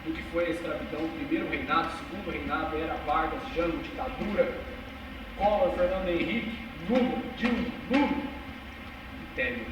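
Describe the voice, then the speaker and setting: A man's voice: on the high side, brisk, background noise. Impassioned young man in an echoey hall. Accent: São Paulo interior Brazilian Portuguese. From Brazil. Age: 20s. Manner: impassioned, emphatic, uses street slang. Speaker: speaker giving a talk. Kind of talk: passionate live talk to a small audience. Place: cultural center hall. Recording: echoey hall recorded from far off.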